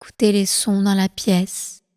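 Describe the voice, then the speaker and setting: A woman leading a guided relaxation meditation as instructor, calm and soothing, with slow, deliberate phrasing. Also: mid-range voice, no echo, clean studio sound, in a large hall. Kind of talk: guided prenatal meditation, yoga nidra.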